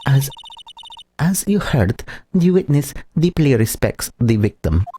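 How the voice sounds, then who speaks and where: A man voicing a haughty, taunting courtroom prosecutor in a video game, deliberate and condescending. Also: medium pitch, very animated, dark tone, slight background noise. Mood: smug. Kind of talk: reading game dialogue in character.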